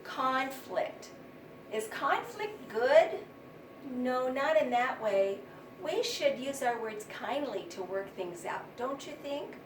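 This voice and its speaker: A woman teacher with a high, very lively voice talks to young children. She instructs step by step, asks simple questions and invites repetition, recorded at a distance from the mic. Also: slow pace. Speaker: primary school teacher. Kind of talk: read-aloud lesson for young children. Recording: roomy, distant mic, faint noise.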